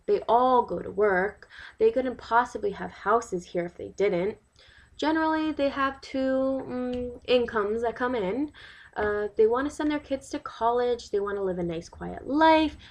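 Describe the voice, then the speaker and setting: A woman performing a dramatic monologue as an indignant, worried New York mother, emphatic and exasperated, firing rhetorical questions. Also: fairly high pitch. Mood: earnest. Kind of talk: dramatic monologue, testimonial to a listener.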